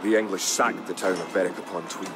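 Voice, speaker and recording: A grave clansman of the Middle Ages, acted by a man tells of war in a low voice. He is resolute and solemn, with measured, dramatic storytelling suited to a strategy game. Music plays clearly behind him. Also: steady tone, natural voice. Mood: grim. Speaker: campaign narrator. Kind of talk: dramatic scripted storytelling narration.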